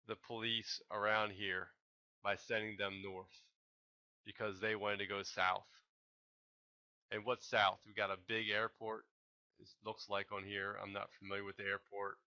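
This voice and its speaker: A man giving unscripted, speculative commentary in a halting, rambling way, as an amateur talking through his own video. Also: fairly low pitch, pushed and bright. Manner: hesitant, rambling, halting delivery. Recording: dull lo-fi home recording.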